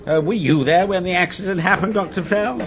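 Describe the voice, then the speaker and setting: A curt ship's captain. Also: male voice, high-pitched, fairly quick, noisy background. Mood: brusque. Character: brusque male ship's captain, officious. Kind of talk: radio drama acting.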